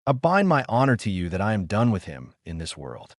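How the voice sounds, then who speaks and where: A man voicing a sick, anxious gentleman doctor in an audiobook, fervent, solemn and formal. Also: fairly low pitch, wildly swooping pitch, fairly quick, no room sound, clean studio sound. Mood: feverish, vowing. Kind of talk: dramatic audiobook character reading.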